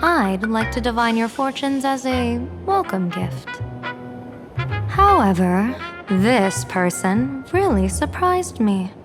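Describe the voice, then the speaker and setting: An enigmatic female fortune-teller and general from a video game. She talks slowly and theatrically, musing with deliberate pauses and a playful edge. Music plays clearly behind her. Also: fairly high pitch, sing-song. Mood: intrigued. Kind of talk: English video game voice acting. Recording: music under the voice.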